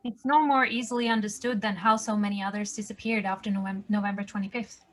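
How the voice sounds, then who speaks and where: A woman plays a composed survivor in an audio drama table read. Her voice is medium in pitch, and she speaks in a plain, factual way. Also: fairly even intonation, fast, natural voice. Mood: matter-of-fact. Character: calm, matter-of-fact woman survivor explaining grim events.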